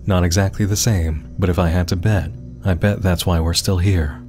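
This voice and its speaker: A man reads as a haunted, defiant male investigator in a web horror mystery. His low voice gives a dramatic first-person account, with music clearly underneath. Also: steady tone, normal speed, a little mellow, close to an everyday voice. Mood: wary.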